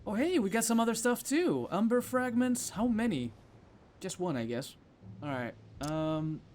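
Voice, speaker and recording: A man's voice: high voice, big pitch swings, measured pace, a little mellow, slight background noise. A man giving casual commentary as he plays a video game, muttering to himself as he decides what to do. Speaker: gamer commentating on playthrough.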